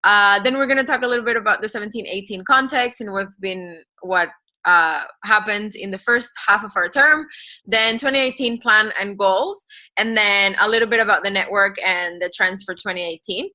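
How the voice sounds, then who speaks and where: A woman's voice: mid-range voice, moderate pace, pushed and bright. A woman in her twenties presenting a webinar in an informal, giggly manner.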